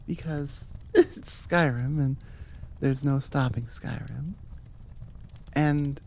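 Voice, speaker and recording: A woman's voice: low-pitched, gravelly, warm tone, a little hiss or hum. A woman chatting casually to her listeners as an audio roleplay creator, rambling in a jokey, self-deprecating way. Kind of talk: casual outro chat to listeners.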